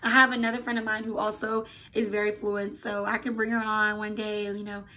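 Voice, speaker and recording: A woman's voice: medium pitch, a little fast, poor audio. A young woman, raised in America after being born in Nigeria, telling stories casually to her channel with lots of "like" and "oh my gosh". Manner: animated, chatty, lots of "like" and "oh my gosh". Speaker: YouTuber. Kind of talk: casual storytelling to camera.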